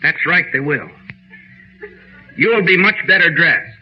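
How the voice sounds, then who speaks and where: A man's voice: fairly high pitch, slow pace. An actor playing an effusive, melodramatic delivery man in a theatrical old radio comedy style. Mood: anguished, melodramatic. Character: high-voiced, overwrought cleaner's delivery man, gushing then melodramatic. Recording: muffled old-time radio recording, faint noise.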